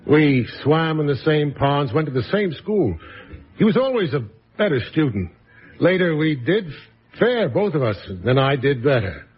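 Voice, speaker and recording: A man's voice: a little high, big pitch swings, normal speed, breathy edge. A man acting in a radio drama as a once-celebrated writer, telling his story in a florid, genial, theatrical manner. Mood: genial, storytelling. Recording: dull lo-fi radio recording with music behind.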